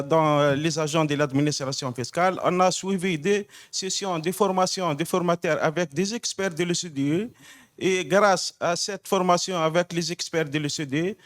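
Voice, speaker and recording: A man from Tunisia, a tax official, asking a halting technical question from the floor at a conference. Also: a little high. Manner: halting, technical, many fillers and restarts.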